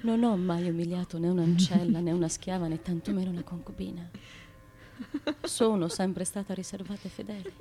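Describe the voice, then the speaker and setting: An actress in a theatrical radio drama playing a wronged, pregnant noble wife, reserved and anxious, with a plaintive, insistent delivery. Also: female voice, fairly low pitch, steady tone, brisk, dark tone, natural voice. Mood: indignant, wounded.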